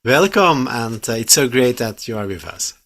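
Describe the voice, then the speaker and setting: A male co-host with a bright, tense voice at a middle pitch. He asks his questions haltingly, filling the gaps with ums and uhs. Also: expressive. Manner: hesitant, many fillers in questions. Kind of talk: casual interview chat.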